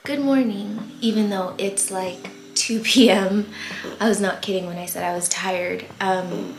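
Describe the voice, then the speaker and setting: A tired young woman with a voice going hoarse talks casually through her plant setup, rambling and filling gaps with likes and ums. Her mid-pitched voice rings a little in a roomy space. She sounds worn out. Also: fairly even intonation, measured pace, faint music behind. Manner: chatty, rambling with fillers. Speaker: plant YouTuber. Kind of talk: casual vlog talk. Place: warm home plant room. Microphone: camera mic with ring light.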